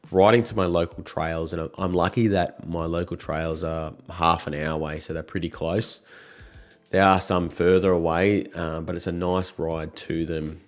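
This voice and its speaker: A man in his thirties, croaky from a cold, chatting casually and frankly to camera as a motorcycle vlogger. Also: deep voice, fairly even intonation, normal speed.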